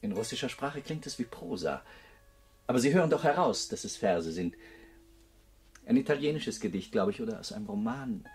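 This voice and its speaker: A man's voice: mid-range voice, expressive, normal speed, a little mellow, slight background noise, natural voice. An actor playing a brooding, lovesick and awkward man in a radio play, hesitant and poetic. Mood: flustered. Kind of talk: German radio play acting. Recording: guitar music behind the voice.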